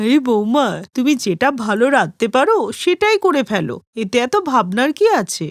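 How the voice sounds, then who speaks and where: A bustling middle-aged mother acted by a woman from a cartoon family story. She speaks in a high, swooping voice, fond but briskly chiding. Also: big pitch swings, no room sound, studio quality. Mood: brisk, motherly.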